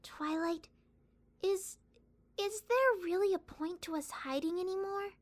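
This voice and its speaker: A woman voicing a timid, gentle young female pony in a dramatised story, hesitant and shy with stammers. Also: extremely high pitch, measured pace. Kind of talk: dramatised fan fiction reading.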